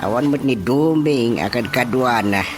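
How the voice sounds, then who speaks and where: A man's voice: medium pitch, a little slow, close to an everyday voice. A man with a Filipino accent acting an easygoing village husband in a radio comedy serial. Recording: music behind the voice.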